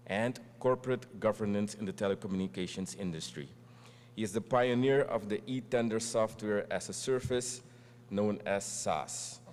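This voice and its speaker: A man's voice: on the low side, a little mellow. A man with a Dutch accent, Dutch as his first language, emceeing a formal event and reading speaker introductions from a screen with wry asides. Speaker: master of ceremonies introducing speakers. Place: large conference hall. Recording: long hall reverb.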